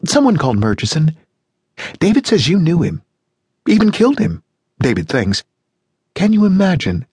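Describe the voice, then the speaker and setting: A man voicing a cheerful, shrill, high-pitched American housewife who gushes and chatters gaily in an audiobook. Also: huge pitch swings, moderate pace, pauses often, darkish tone, slightly rough, no echo, studio quality, full character voice. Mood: gushing. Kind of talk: audiobook reading.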